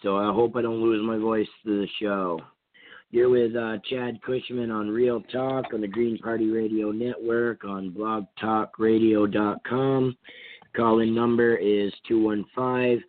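A hoarse man with a cold from Vermont, hosting a call-in radio talk show in a rambling, casual way with many fillers and restarts. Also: lowish voice, level tone, unhurried, no room sound. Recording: tinny phone-line sound.

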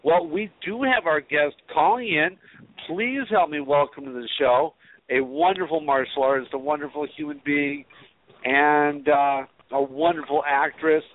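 Higher-pitched male radio host, tinny sound. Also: lively intonation, measured pace. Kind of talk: live talk radio interviewing. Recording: tinny, phone-like radio audio.

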